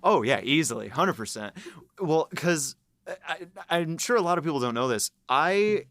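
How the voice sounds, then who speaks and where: A man's voice: a little high, expressive, normal speed, fairly bright tone, dry recording. Eager upbeat man chatting on a podcast. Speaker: writer and voice actor. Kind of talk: casual podcast chat.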